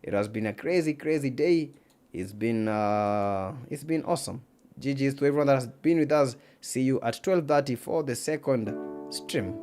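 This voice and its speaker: A man's voice: mid-range voice, moderate pace, darkish tone, faint music behind. A man with an East African accent and Swahili as his first language, hosting an esports livestream in casual commentary, repeating phrases for emphasis.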